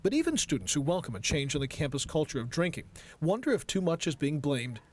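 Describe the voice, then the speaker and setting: An American man narrates a news package from a script. His voice is fairly high for a man and very animated in its intonation. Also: fast. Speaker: TV news reporter.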